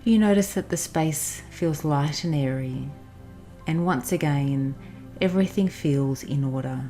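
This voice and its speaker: A woman narrating a guided meditation in a soothing, instructional manner with pauses. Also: low-pitched. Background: soft background music.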